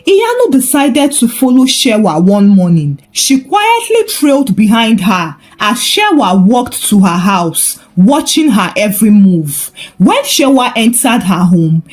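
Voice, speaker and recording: Female folktale narrator: unhurried, scripted storytelling with a fairly high, animated voice.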